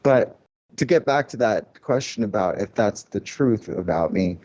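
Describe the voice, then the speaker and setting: Candid, low-voiced man reflecting in an interview. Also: lively intonation, normal speed, a little mellow, muffled recording. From Cuban family, grew up in a loud-talking city. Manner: candid, reflective, many restarts and "like" fillers. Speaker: record label founder. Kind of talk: casual podcast interview.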